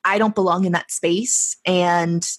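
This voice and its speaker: A woman's voice: lowish voice, steady tone, a little slow. A woman from San Diego in a casual talk, reflecting on her own experience. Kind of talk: casual chat.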